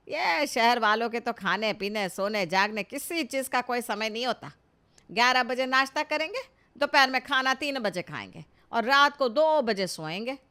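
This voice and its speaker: A woman playing a nagging, sharp-tongued older village mother-in-law in a cartoon family comedy, scolding and sarcastic. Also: on the high side, clean tone. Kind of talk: Hindi animated family comedy story acting.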